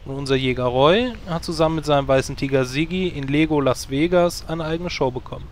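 An actor playing a guild leader who dismissively turns down a recruit in a matter-of-fact tone. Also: male voice, fairly high pitch, a little fast, slight background noise, close to an everyday voice. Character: blunt male guild leader judging recruits.

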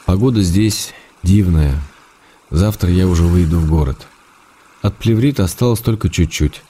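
Very deep, bright-edged actor as wry letter writer. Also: male voice, normal speed, slight background noise, close to an everyday voice. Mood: cheerful, affectionate. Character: ailing, affectionate, wry male writer reading letters to his wife. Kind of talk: dramatic reading of letters for radio.

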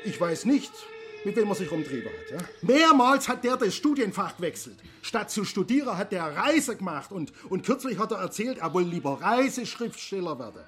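A man's voice: sits high, big pitch swings, a little fast, a bit bright. An actor playing a distraught middle-aged father in a radio play, agitated, faltering and full of complaint. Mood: angry, ranting.

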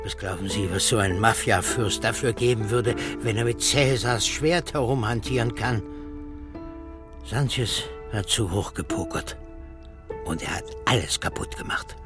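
Actor as an imperious old professor, bitter and scornful. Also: male voice, fairly low pitch, measured pace, lightly acted. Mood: cold, resentful. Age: older. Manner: theatrical, lecturing, contemptuous. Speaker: actor in a radio crime drama. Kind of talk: radio drama acting. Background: music.

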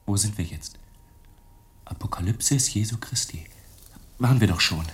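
An actor playing a tense young novice monk in a radio play, speaking in a hushed, halting way. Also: male voice, on the low side, close to an everyday voice. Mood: hushed, searching.

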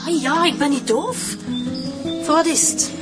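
A woman's voice: high-pitched, a little slow. Scornful flemish ex-wife, curt, single mother, radio drama, music behind.

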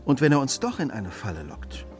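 An actor playing a cool, commanding Victorian gentleman detective in a radio crime drama, speaking in a crisp, self-assured way. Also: medium pitch, huge pitch swings, fairly quick, over music. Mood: calm, calculating.

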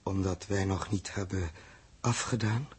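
An actor playing a weary, puzzled man who is gentle with a child, in a hesitant 1960s radio drama delivery. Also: low-pitched, fairly even intonation, a little slow, husky, heavily acted.

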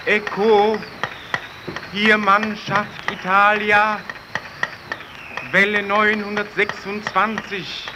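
A stranded polar radio operator in a radio play. His voice is very high and strained with desperation, chanting each distress call extremely slowly and urgently. Loud noise sits around him on the old recording. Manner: urgent, chanting, repetitive calling. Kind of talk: German radio play acting, slow distress-call declamation.

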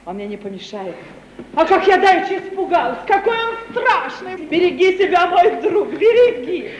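Coquettish older lady, gushing, affected, high swooping voice, old radio comedy, roomy hissy recording. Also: sing-song, moderate pace, highs cut off. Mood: relieved, coquettish. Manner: gushing, repeats phrases theatrically. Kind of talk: 1950s radio stage comedy acting.

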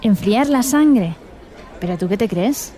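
A woman's voice: medium pitch, animated, unhurried, mellow, some chatter behind, lightly acted. An actress playing a playful, flirtatious woman from Spain in an intimate radio drama, with a Spanish accent and a teasing manner. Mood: mock indignant. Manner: teasing, coquettish. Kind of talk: radio drama acting, intimate phone conversation.

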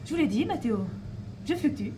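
An actress playing a mysterious, cheeky woman in a French audio drama, with a brisk, ironic, teasing delivery. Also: fairly high pitch, sing-song, noisy background. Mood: urgent, cheeky. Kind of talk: French audio fiction acting.

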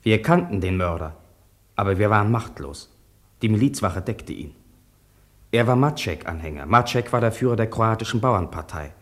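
Actor as a low-voiced earnest farmer's son. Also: male voice, expressive, moderate pace, lightly acted. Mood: sober, recollecting. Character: farmer's son recalling family and village past soberly. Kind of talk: radio drama first-person narration.

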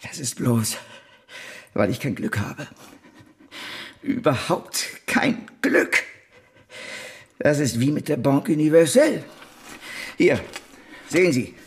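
An actor in a German radio drama playing a disgraced, imprisoned financier, ruthless, self-pitying and grandiose, in a halting theatrical way. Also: male voice, a little high, very animated, full character voice. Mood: tearful, self-pitying. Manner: theatrical, halting, swinging between pleading and boasting.

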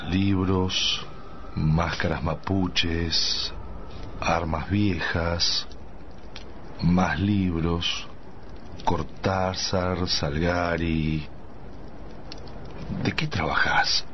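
An actor playing a sad, weary time traveler who wanders the centuries in a radio drama, speaking solemnly and haltingly. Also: male voice, low voice, fairly even intonation. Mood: observant, curious. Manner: halting, solemn, pauses between phrases. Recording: dull, noisy radio drama broadcast.

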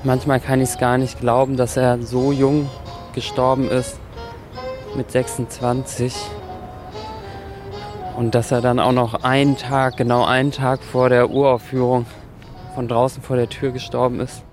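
Man, interviewee, flat intonation, halting reflective talk, music clearly behind. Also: mid-range voice. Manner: halting, searching for words.

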